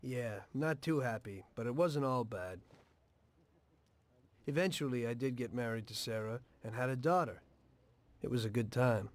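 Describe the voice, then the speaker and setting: An actor playing a weary, pragmatic middle-aged mobster in a video game, plainspoken and measured. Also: male voice, medium pitch, lightly acted. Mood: reflective.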